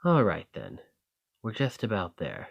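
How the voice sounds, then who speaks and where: Man playing a slow, low-voiced roguish bandit leader. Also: huge pitch swings, vocal fry, slightly rough, lo-fi sound, heavily acted. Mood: wry, teasing. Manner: folksy, drawling, teasing, unhurried. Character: roguish male bandit leader, confident, wry, genial schemer. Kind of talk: scripted roleplay acting addressed to the listener.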